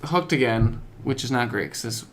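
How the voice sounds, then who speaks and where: A man's voice: medium pitch, expressive, normal speed. A man chatting casually as a podcast co-host about video games, telling stories in slangy, informal language.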